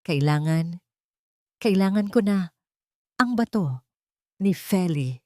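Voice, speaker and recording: A woman voicing a gravely ill, angry and ruthless husband in a drama story, speaking haltingly and desperately. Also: lowish voice, expressive, a little mellow, no echo, studio quality.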